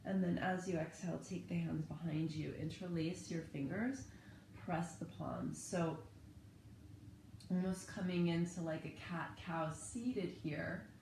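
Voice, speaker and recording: A woman in her thirties, slightly hoarse, calmly leading a guided yoga and breathing session from her living room. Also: lowish voice. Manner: calm, gentle cueing with breath. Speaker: yoga and meditation teacher. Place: living room at home, indoors. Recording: echoey home room, faint background noise.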